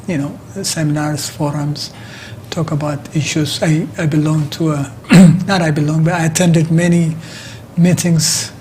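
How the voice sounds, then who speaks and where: A middle-aged man from Ethiopia with an Ethiopian accent, answering interview questions conversationally in a halting way, repeating words as he explains. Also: fairly high pitch, level tone, darkish tone, slight background noise. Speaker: interview guest, activist.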